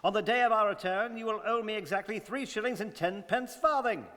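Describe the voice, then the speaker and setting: A precise English gentleman adventurer, acted by a man in a radio play. His voice is high and forceful, clipped and formal, with total composure, carried on long room reverb. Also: brisk. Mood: unruffled, exacting. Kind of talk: stage radio drama acting. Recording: reverberant studio hall.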